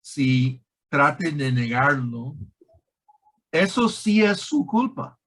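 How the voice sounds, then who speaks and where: A man with a foreign accent who is not a native Spanish speaker, explaining as a guest expert in a halting, emphatic way with grammatical slips. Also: fairly high pitch, very animated, a bit bright, low-quality recording. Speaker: guest expert on online scams. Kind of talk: explanatory conversation.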